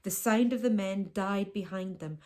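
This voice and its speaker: A woman reads a children's novel aloud in the manner of a teacher. Her storytelling is expressive, and her voice sits at an ordinary middle pitch for a woman. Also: normal speed. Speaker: teacher reading aloud to pupils.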